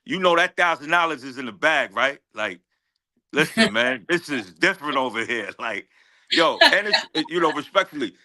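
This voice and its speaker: Bright-voiced New man, confident rapper. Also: a little high, big pitch swings, moderate pace. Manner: confident, emphatic, repeats phrases. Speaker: guest rapper. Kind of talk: casual interview talk with heavy slang.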